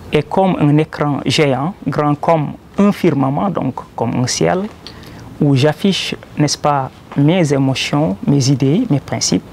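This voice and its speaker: A man with a Senegalese accent, a poet speaking in a measured, deliberate way with pauses between short phrases. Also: a little high, creaky, darkish tone, slight background noise. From Joal, Senegal. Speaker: poet presenting his own collection. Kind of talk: poetry recitation and explanatory talk about it.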